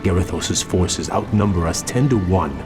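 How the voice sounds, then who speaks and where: An actor playing a proud, troubled young elf prince in a fantasy game, speaking in a grave, formal way. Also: male voice, deep voice, measured pace. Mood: grave, resolute. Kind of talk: dramatic fantasy game voice acting. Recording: background music under the voice.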